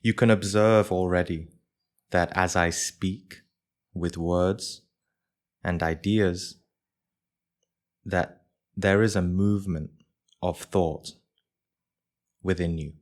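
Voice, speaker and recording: A man leading a live guided meditation for a group as its teacher, speaking in measured short phrases with pauses between them. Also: low-pitched, steady tone, darkish tone.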